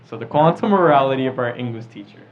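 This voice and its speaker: A man's voice: medium pitch, animated, fast, highs cut off. A teenage boy from New York chatting casually and telling stories as a podcast co-host. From New York, United States. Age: teens. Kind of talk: casual chat, storytelling.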